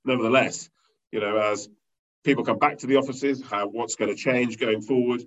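A man leading a company, talking through an interview in a rambling way with many fillers and restarts, with a loud voice. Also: mid-range voice, steady tone, normal speed. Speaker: company leader interviewed as guest. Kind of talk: conversational business interview. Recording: dull lo-fi sound.

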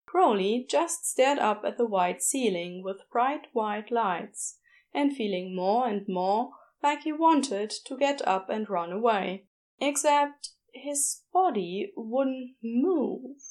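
A woman reading a story aloud as an audiobook narrator, in expressive scripted narration. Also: on the high side, slow. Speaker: audiobook narrator of fan fiction.

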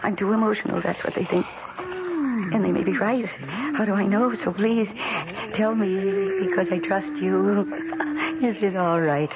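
An actress playing an emotional, unhinged middle-aged housewife in a 1970s radio drama, with intense, haunted delivery and dramatic pauses. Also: female voice, medium pitch, expressive. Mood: fragile joy. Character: emotional, unhinged lonely housewife who talks to her plants. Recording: dull, noisy 1970s radio broadcast.

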